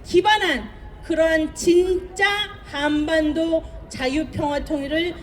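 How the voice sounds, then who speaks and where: A woman's voice: high-pitched, fairly even intonation, slow, a little hiss or hum. A woman lawyer giving an impassioned, emphatic speech to a crowd at an outdoor rally. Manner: rousing, emphatic, addresses the crowd.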